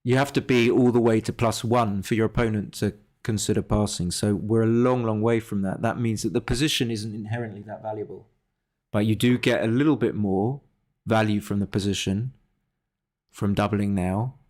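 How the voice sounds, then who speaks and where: Male game streamer, lowish voice, casual and hesitant, reasoning through plays aloud. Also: fairly even intonation, moderate pace, a little mellow. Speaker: livestreamer analysing his backgammon games. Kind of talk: casual live game commentary.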